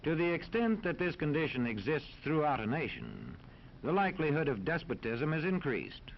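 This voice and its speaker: An American man narrating a scripted 1940s educational film in a formal, authoritative, measured manner. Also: a little high, wildly swooping pitch, gravelly. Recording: dull 1940s film soundtrack, faint noise.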